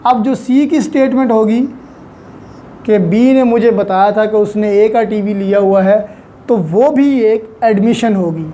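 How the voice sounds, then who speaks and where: A woman lecturer speaks at a slow, deliberate pace in a soft-edged, mellow voice of medium pitch. She explains didactically, restating phrases for emphasis and slipping in English terms. Faint noise sits behind her. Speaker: law lecturer. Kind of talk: explanatory lecture, Urdu-Hindi mixed with English legal terms.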